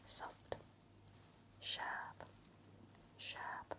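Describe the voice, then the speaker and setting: Asmr lady whispering super slowly, breathy and gentle, playing a caring nurse doing a checkup. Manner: gentle, soothing, encouraging, attentive. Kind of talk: whispered ASMR medical exam roleplay.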